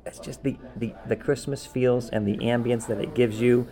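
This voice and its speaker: A man's voice: mid-range voice, steady tone, moderate pace, warm tone. A man co-hosting a podcast in casual chat, enthusiastic and repeating words for emphasis. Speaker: podcast co-host. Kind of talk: casual chat ranking favorite cartoon specials.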